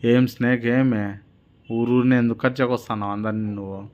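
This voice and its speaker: A man's voice: medium pitch, steady tone, fairly quick, phone-quality sound, lightly acted. A man voicing a fearless old swami in a children's story, admonishing plainly and confidently with a Rayalaseema Telugu accent. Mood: unafraid. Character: fearless old male holy man, sternly advising.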